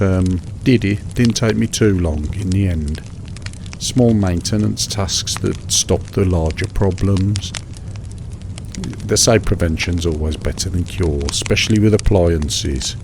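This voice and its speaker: A middle-aged British man in a monotonous, soothing one-sided chat, hesitant and rambling, repeating himself over mundane small details. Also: low voice, gravelly. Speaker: host of a sleep relaxation show. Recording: steady background noise, fireside crackle.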